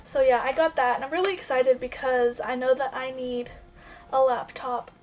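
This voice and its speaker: Casting: young woman, high voice, nasal and stuffy from a cold, rambling casual vlog chat, faint music underneath. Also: fairly even intonation, normal speed. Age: young adult. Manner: rambling, lots of "like" fillers. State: stuffy-nosed from a sinus infection. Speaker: vlogger. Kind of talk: casual life-update chat.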